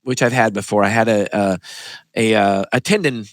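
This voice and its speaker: A man who hosts a health podcast and works as a pharmacist, chatting in an animated, emphatic way as he tells stories. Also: lowish voice, normal speed, dry recording. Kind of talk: casual interview chat.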